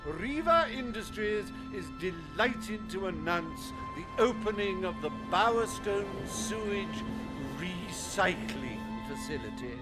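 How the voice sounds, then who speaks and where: Grandiose game tycoon: high, slow, unctuous speechifying over prominent music. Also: male voice, sing-song, heavily acted. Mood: grand, self-satisfied. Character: pompous, falsely courteous male industrialist making grand announcements. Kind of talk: theatrical video game speechmaking. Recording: loud music underneath.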